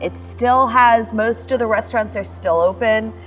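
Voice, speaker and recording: A woman's voice: a little high, moderate pace, fairly bright tone, poor audio. A woman from the United States chatting enthusiastically in a travel vlog, laughing easily, recorded outdoors at a harbor. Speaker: vlogger. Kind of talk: casual travel vlog talk.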